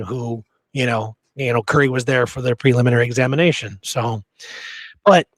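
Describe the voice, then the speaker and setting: A male podcast host with a mid-pitched voice tells history casually and emphatically. He rambles through his points with strong opinions, recorded in a dry space with very little room sound. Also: fairly bright tone, no room sound, poor audio.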